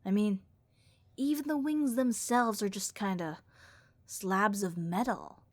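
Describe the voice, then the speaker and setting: Woman acting a raspy, bored harpy copilot. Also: medium pitch, no room sound. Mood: bored, complaining. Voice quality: raspy vocal fry. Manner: overdramatic, deadpan complaining with drawn-out emphasis. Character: bored, snarky harpy airline copilot, chatty and reckless. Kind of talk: comedic audio roleplay monologue addressed to listener.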